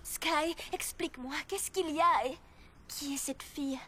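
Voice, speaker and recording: An actress playing an earnest, self-doubting teenage fairy princess in a cartoon film. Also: female voice, high voice, a little slow, pushed and bright, a little hiss or hum. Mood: anxious. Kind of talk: French cartoon film dub.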